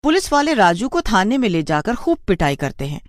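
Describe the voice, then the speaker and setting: A narrator reading a scripted cartoon story in an expressive storytelling manner. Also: medium pitch, brisk, dry recording.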